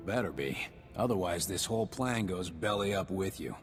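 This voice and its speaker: A low-voiced, wry resistance fighter. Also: male voice, expressive, normal speed. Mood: wry, guarded. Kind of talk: scripted video game acting. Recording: game cutscene with music underneath.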